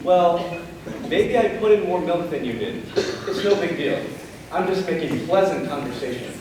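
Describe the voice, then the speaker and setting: Stage comedy role: sarcastic, tired middle-aged brother played by a young man, higher-pitched, heard from far off in a noisy hall. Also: animated, normal speed, lightly acted. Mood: placating. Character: weary, sarcastic middle-aged brother, placating but needling. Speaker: actor in a school play. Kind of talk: live amateur stage comedy acting. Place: large indoor stage in an auditorium. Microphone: distant camera microphone. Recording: echoey auditorium from far off, noisy.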